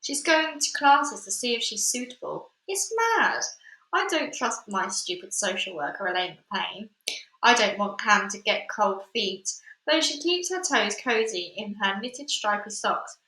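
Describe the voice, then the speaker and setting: Woman storybook reader, bright and fairly high, expressive and chatty, echoey room. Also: unhurried. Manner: chatty and expressive, with fillers in asides. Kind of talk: reading a children's book aloud.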